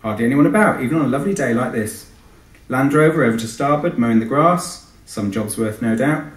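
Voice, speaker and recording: A man's voice: medium pitch, lively intonation, fairly quick. A man with a British accent, an author giving a live literary reading. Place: live event in a hall. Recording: echoey hall, distant microphone.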